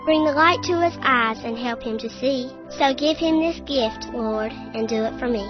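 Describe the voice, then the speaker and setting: A man's voice: very high-pitched, moderate pace, pitched above the performer's own voice. A man voicing a timid, sweet little girl in a rhyming storybook reading. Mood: prayerful. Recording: dull lo-fi recording with music.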